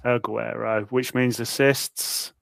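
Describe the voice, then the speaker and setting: Man, podcast co-host, casual quiz chat, gravelly, dry close sound. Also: medium pitch, little pitch movement, slow pace, fairly bright tone, no echo.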